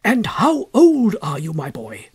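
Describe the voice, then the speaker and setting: A man voicing an elderly, austere but kindly gentleman scholar in a story, fussy and eager, hesitating and repeating his questions. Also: high voice, huge pitch swings, a little slow, slightly rough, heavily acted. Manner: hesitant, repetitive, fussily questioning.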